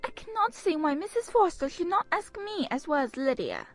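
A woman's voice: very high voice, sing-song, moderate pace, faint music behind, pitched above the performer's own voice. A woman voicing whiny, spoiled teenage girls who complain about unfairness. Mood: peevish. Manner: peevish, complaining.

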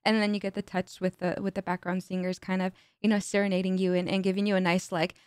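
A woman's voice: mid-range voice, little pitch movement, fast talker, darkish tone, clean tone, dry recording. A young woman whose first language is Spanish, reacting to music as a streamer in a bubbly casual way, switching between English and Spanish, speaking into a studio mic in a home streaming room. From United States, Mexican parents. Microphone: studio mic on boom arm, headphones on.

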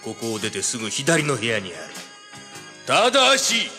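Haughty alien mastermind: formal, condescending menace at medium pitch, audio drama with clear music underneath. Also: male voice, wildly swooping pitch, moderate pace, bright, forceful tone, heavily acted. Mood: scornful. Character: smug, haughty alien male villain, centuries old. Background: prominent dramatic music.

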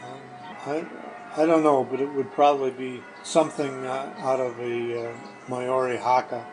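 A man answering interview questions informally, in a hesitant, halting way. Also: mid-range voice, level tone, music in the background, low-quality recording. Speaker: recurring interview guest.

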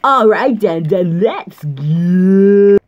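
A man's voice: sits high, animated, very slow. A young man doing a homemade toy puppet show, switching between many silly put-on voices. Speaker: toy video creator voicing many characters. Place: home room with toys on the floor. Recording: dull lo-fi home recording.

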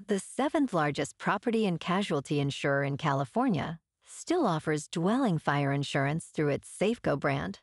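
Low-pitched, gravelly woman reading news. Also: dry recording, clean studio sound. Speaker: news podcast host.

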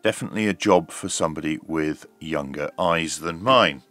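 A middle-aged man giving a measured, explanatory hands-on demonstration, like a reviewer talking through each step. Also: rumbling low, a bit bright, faint music behind. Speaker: radio reviewer.

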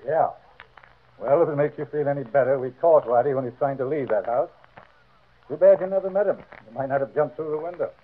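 Through a tinny vintage radio recording comes a man acting a worldly police inspector from a 1940s crime play. He is wry and matter-of-fact, with hard-boiled slang and a medium-pitched voice. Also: a little fast, natural voice. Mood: wry, dismissive.

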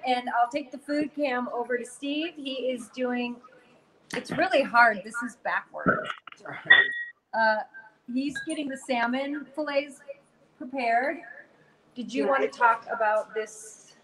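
High-voiced woman hosting a cooking stream. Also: background noise. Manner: brisk, addresses live viewers. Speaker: host. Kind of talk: cooking demo on a live stream. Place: home kitchen.

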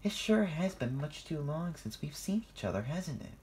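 A storyteller voicing a gentle female guardian engine in a soothing, feminine, softly playful way. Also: mid-range voice, big pitch swings, normal speed, slight background noise, pitched above the performer's own voice, lightly acted. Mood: soothing, friendly.

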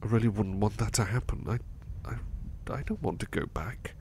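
Casting: male actor as gentle sleepy servant for ASMR roleplay, low creaky voice, anxious, halting, devoted. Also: lightly acted. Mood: uneasy, reluctant. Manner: hesitant, stammering, apologetic. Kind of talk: soft-spoken ASMR roleplay acting. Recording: faint background noise.

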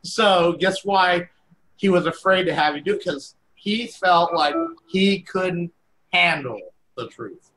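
A man's voice: high-pitched, slow, bright, forceful tone, a little hiss or hum, low-quality recording. A man co-hosting a casual chat show over a video call, cracking jokes and laughing at his own puns. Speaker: co-host.

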